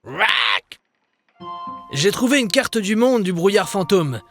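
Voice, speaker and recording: A man's voice: high-pitched, sing-song, takes it slowly, fairly bright tone, soft background music, heavily acted. A man voicing an adventurous, commanding ghost sea captain in a children's cartoon, with a hearty, theatrical delivery. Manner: hearty, theatrical, barks nautical orders.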